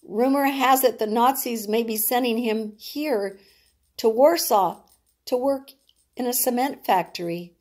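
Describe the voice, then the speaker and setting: A woman's voice: fairly high pitch, a little slow, darkish tone. Woman reading as a worried, earnest female companion. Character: worried female companion passing on grave rumours.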